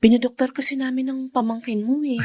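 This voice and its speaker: A woman's voice: high voice, fairly even intonation, quick pace, a little mellow, dry recording, studio quality. An actress playing an apologetic, caring older sister, a young woman, in a radio drama.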